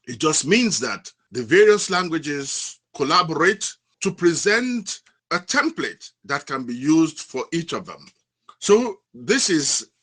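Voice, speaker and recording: Formal man with very animated intonation. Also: fairly high pitch. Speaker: academic contributor. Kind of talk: prepared academic presentation.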